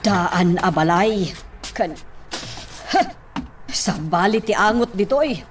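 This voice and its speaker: A woman's voice: mid-range voice, animated, takes it slowly, faint music behind. A woman in a radio drama playing a dissatisfied young woman in hiding, whiny and fussy in manner. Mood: displeased.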